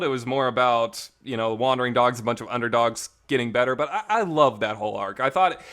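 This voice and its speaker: A man's voice: mid-range voice, fairly bright tone, smooth. Enthusiastic man rambling through a fan review. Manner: enthusiastic, emphatic, informal. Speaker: host of a visual novel talk show. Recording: reverberant voice recording.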